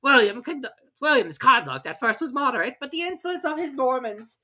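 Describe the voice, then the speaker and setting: High, pompous little mouse. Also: female voice, very animated, a little fast, bright, forceful tone, full character voice. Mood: pompous reciting. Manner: pompous, schoolmasterly reciting. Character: self-important, testy mouse lecturing with authority.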